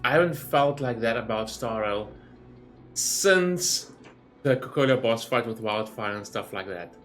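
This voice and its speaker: Guy doing a chatty gaming reaction, bright voice, rambles a bit, some music behind him. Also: medium pitch, measured pace. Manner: rambling, informal. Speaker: gaming YouTuber. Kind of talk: casual game reaction commentary.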